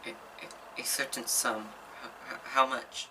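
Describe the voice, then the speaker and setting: A woman's voice: rumbling low, level tone, measured pace, sharp tone, exaggerated voice. A young woman in an amateur stage play acting a cautious, skeptical parent, shaken and reluctant. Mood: stunned, halting. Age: young adult. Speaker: student actor. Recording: noisy echoey room from far off.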